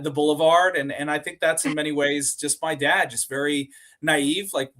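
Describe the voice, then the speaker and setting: A man from Sweden, a company co-founder, telling stories as a podcast guest in a relaxed, rambling way, with many fillers and restarted words. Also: a little high. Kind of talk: casual interview storytelling.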